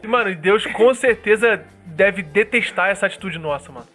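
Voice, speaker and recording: Animated, slangy young-sounding man chatting. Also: high-pitched, moderate pace, faint music behind. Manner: animated, slangy, acts out quoted voices. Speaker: podcast co-host.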